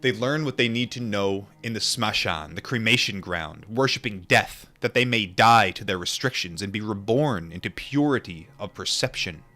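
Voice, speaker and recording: A man reading a book aloud and adding informal asides, in a measured, deliberate manner. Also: on the low side, animated, sharp tone, soft background music. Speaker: audiobook reader and commentator.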